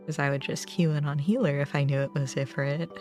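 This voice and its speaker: Low-voiced woman streamer chatting casually. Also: fairly even intonation, a little fast, a little mellow, soft background music. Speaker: livestreamer chatting with viewers. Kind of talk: casual gaming stream chat.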